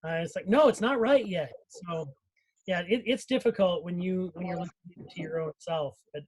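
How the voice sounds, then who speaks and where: High-pitched man in casual panel chat. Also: normal speed, a little mellow, husky, rough recording. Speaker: audio drama producer and cast member.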